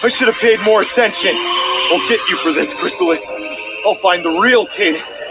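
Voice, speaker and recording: A man's voice: high voice, moderate pace, over loud music, poor audio. A man playing a distraught, suspicious pony prince in a cartoon drama, with an overwrought delivery. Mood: defiant. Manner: overwrought, stammering. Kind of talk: fan-made cartoon audio drama acting.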